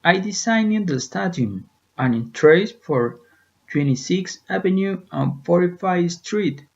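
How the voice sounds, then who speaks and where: Man playing creaky-voiced dead architect's spirit. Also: sits high, heavily acted. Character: spirit of a dead elderly male European architect. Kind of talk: scripted interview role-play in English. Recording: dull lo-fi recording.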